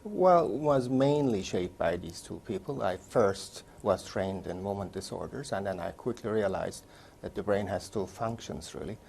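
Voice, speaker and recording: Gravelly-voiced Turkish-born man in his sixties. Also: fairly low pitch, expressive, darkish tone, slight background noise. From Turkey. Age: 60s. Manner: measured, recounting career step by step. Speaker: interviewee, neurologist. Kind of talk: oral history interview.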